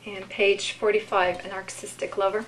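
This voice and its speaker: A woman giving a live poetry reading, with deliberate, measured delivery and informal commentary between pieces. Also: mid-range voice, level tone, a bit bright, a little hiss or hum, rough recording. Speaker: poetry reader.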